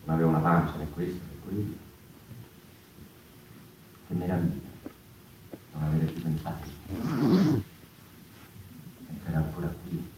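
An actor in his fifties playing a reflective, impassioned stage performer in a halting theatrical monologue that repeats words and phrases. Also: very deep voice, lively intonation. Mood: hushed, reflective. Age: 50s. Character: impassioned fifty-year-old stage performer musing on freedom. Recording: echoey, distant, noisy stage room.

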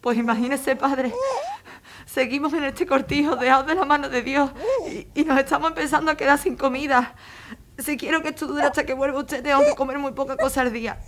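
A woman acts a desperate young mother in a wartime radio play. Her high voice pleads tearfully, with clear noise behind it. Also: fairly even intonation, fairly quick, natural voice. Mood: weary pleading. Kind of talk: emotional audio drama acting. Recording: clear background noise, clicks.

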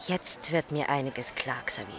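An actress playing a composed, sharp-witted woman investigator in a radio crime drama, conversational and matter-of-fact. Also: rumbling low, lively intonation, moderate pace, over music. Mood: serious, explanatory. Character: composed, sharp-witted female colleague investigating restaurant fires.

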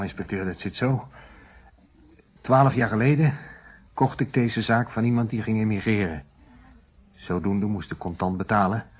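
Husky, troubled Dutch shopkeeper. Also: male voice, lowish voice, highs cut off. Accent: Netherlands Dutch. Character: troubled male shopkeeper, baffled and defensively candid. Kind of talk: radio play acting.

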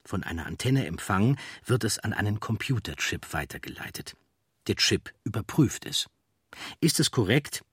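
A man reading scripted documentary narration in a measured, explanatory manner. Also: lowish voice, husky, no room sound, clean studio sound. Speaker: audiobook narrator.

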